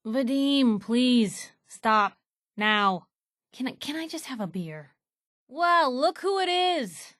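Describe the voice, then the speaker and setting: A woman's voice: high voice, lively intonation, slow, fairly bright tone, no room sound, clean studio sound, exaggerated voice. An actress playing a plucky, sassy young woman reporter in a video game, with a wry, teasing, conversational way of talking. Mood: wry, playful. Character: plucky young woman reporter, sassy, warm-hearted, in a post-apocalyptic wasteland. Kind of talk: video game voice acting, conversational dialogue lines.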